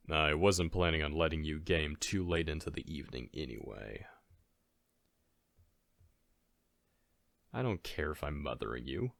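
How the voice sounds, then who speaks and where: A man playing a caring, goofy best friend in a soft-spoken ASMR audio roleplay, talking in a halting, gently chiding, conversational way. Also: a bass voice, creaky, no echo, exaggerated voice. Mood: concerned, chiding.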